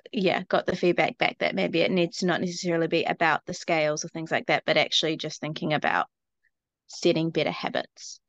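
New Zealand woman, gravelly low voice. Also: a bit bright, no room sound, dull audio. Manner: rambling and candid, with frequent ums and laughs. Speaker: coaching client. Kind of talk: casual group call. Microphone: phone.

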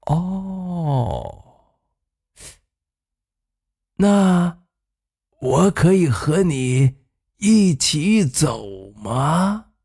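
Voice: medium pitch, big pitch swings, drawn-out words, vocal fry, long pauses, warm tone, breathy edge, pitched above the performer's own voice, full character voice. A storyteller voicing a sad, weary old person who weeps and pleads, in a children's fairy tale. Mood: tearful, pleading.

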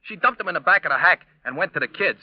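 An actor playing a scatterbrained, good-hearted American cab driver who stammers and repeats himself as comic relief in an old radio show. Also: male voice, high-pitched, expressive, fast talker. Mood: chatty. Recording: dull vintage radio recording.

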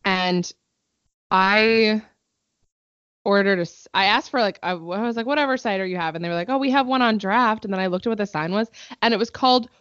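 A woman's voice: mid-range voice, a little fast, a bit bright, smooth, no echo. Upbeat young woman on a lo-fi line. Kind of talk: casual chat.

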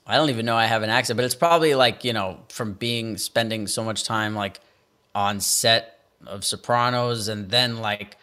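A man's voice: fairly low pitch, normal speed, bright, forceful tone. Loose, profane man telling stories. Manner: loose, profane storytelling with lots of fillers. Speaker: podcast co-host. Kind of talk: casual chat. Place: away in a cold city, remote call.

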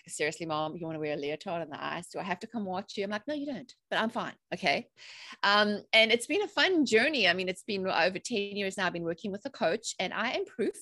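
A woman's voice: medium pitch, fairly bright tone, dry recording, studio quality. A woman in her forties with a South African accent, answering interview questions in a chatty, upbeat way. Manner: chatty and upbeat, rising emphatic phrases. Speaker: podcast guest, mindset coach.

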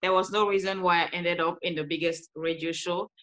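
Woman, Cameroonian accent, lowish voice, animated interview guest, laughs easily. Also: fairly quick. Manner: animated, laughs often, restarts sentences. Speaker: guest, life coach. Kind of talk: casual interview storytelling.

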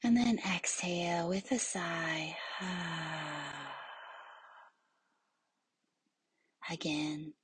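A woman leading a guided yoga nidra meditation in a soothing, hushed, breathy voice that often nears a whisper, with long pauses. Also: on the low side, little pitch movement, noisy background.

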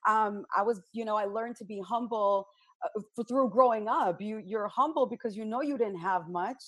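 A woman from the south side of Chicago, a radio personality speaking as a panel guest in an outspoken, blunt, animated storytelling manner. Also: medium pitch, normal speed. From United States, south side of Chicago. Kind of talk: candid panel discussion.

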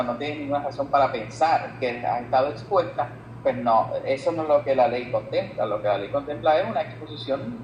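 A man with a Puerto Rican Spanish accent explaining as an expert guest in an interview, haltingly repeating words as he reasons. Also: mid-range voice, highs cut off. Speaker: guest expert on labor law. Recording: echoey room with some background noise.

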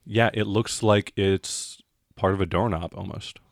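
A man narrating as game master of a tabletop role-playing game, describing things in a halting, improvised way. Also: fairly low pitch, steady tone, gravelly, no echo, clean studio sound.

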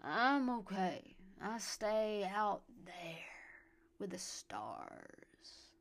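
A boyish, happy drunk Southern man, performed by a woman narrator, slurs his way through a story. His halting drawl drags slowly, with grunts and a creaky edge. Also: mid-range voice, big pitch swings, exaggerated voice. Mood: dreamy, slurring.

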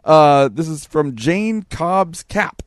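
A man's voice: a little high, expressive, slow pace, no echo, studio quality. A man co-hosting a podcast, chatting casually and reading listener messages aloud.

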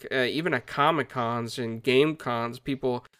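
A man's voice: mid-range voice, moderate pace, fairly bright tone, clear, resonant tone, no echo, clean studio sound. A man hosting a gaming news podcast, talking casually and upbeat with many fillers as he goes through stories. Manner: upbeat, greets viewers, uses many fillers. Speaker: podcast host.

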